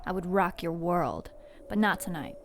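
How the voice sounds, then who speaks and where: A gravelly, tough streetwise woman. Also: fairly low pitch, lively intonation, normal speed, a little hiss or hum. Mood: defiant. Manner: curt, cocky. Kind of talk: audio drama acting.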